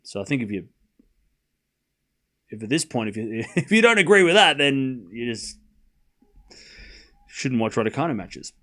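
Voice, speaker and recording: A man with a mild Australian accent and a medium-pitched voice hosts a sports livestream. He moves between live tennis commentary and casual replies to viewers. His delivery is conversational, and he often repeats a phrase to stress it. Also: sing-song, normal speed, long pauses, sharp tone. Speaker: livestream watchalong host and commentator.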